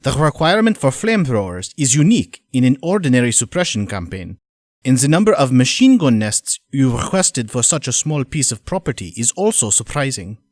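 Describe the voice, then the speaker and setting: A businesslike mercenary colonel acted by a man reads out a formal letter for an audio drama. His delivery is measured yet animated in intonation, in a middling register and a dry recording. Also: mid-range voice, dry recording.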